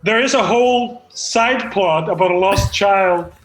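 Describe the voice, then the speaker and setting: A man playing an earnest, self-important amateur screenwriter in an improvised comedy table read, with a halting, stammering delivery. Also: sits high. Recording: roomy, echoey room sound.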